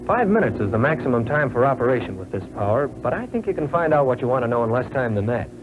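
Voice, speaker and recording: Man acting as young American trainee fighter pilot, earnest, plain-spoken, measured 1940s training film narration, tinny narrow sound, faint noise. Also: medium pitch, sing-song, close to an everyday voice.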